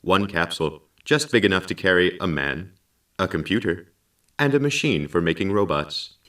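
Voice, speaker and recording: A man playing a calm, dutiful artificial intelligence who narrates a science fiction audio drama in a measured, formal and precise way. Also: sits low. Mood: measured storytelling. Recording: slightly distant, music underneath. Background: music.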